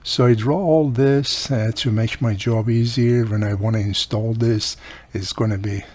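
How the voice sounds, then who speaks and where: A husky-voiced man with gives an informal hands-on tutorial. His pitch sits in the middle range for a man. He explains patiently in short, halting phrases, often repeating himself as he goes. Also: steady tone, a little mellow. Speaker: presenter of a radio repair tutorial.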